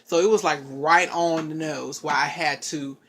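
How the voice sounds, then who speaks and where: A woman telling a long personal story in casual chat, rambling and animated, with frequent asides to her listeners. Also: low voice, moderate pace, slight background noise.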